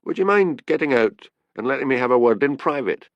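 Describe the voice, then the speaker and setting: A man's voice: medium pitch, lively intonation, a little fast, no room sound, dull audio, pitched above the performer's own voice, lightly acted. A man voicing a stern, brusque Scottish policeman with a curt, demanding way of talking, in an audiobook story. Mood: stiff, hurt.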